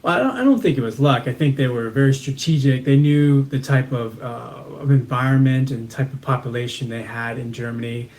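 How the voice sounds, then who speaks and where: A man's voice: medium pitch, brisk, hardly any pauses, darkish tone. Earnest self-assured man guest. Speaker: guest, community page founder. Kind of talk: casual interview.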